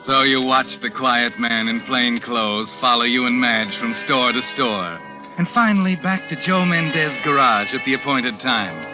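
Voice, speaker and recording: Man acting as sardonic radio mystery narrator, deliberate, all-knowing, strong music behind, old radio recording. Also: mid-range voice, lively intonation, natural voice, rough recording. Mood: knowing, ominous. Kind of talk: 1940s radio mystery narration in second person.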